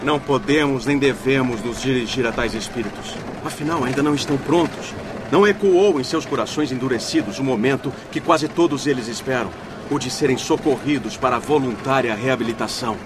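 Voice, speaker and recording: Casting: male actor as composed, preachy spirit guide for radio play, medium pitch, clear rumbling ambience behind. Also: close to an everyday voice. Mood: calm, reassuring. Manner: measured, didactic. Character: calm, reassuring, preachy spirit guide leading a caravan. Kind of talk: radio drama acting. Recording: noisy vehicle-like background ambience.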